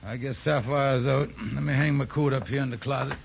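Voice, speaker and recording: An actor playing a blustering, scheming husband who stammers in folksy, ungrammatical speech in a 1950s radio comedy. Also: male voice, mid-range voice, steady tone, brisk, gravelly, lightly acted. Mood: puzzled, suspicious. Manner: stammering, exaggerated folksy nonstandard grammar. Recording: muffled, hissy old radio broadcast.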